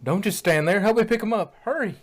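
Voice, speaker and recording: A man's voice: high voice, fairly quick, fairly bright tone, pitched above the performer's own voice. A man voicing an emotional, hot-tempered female farm pony in an audio story. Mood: desperate, urgent. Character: hot-tempered farm mare pony, distraught then guilty.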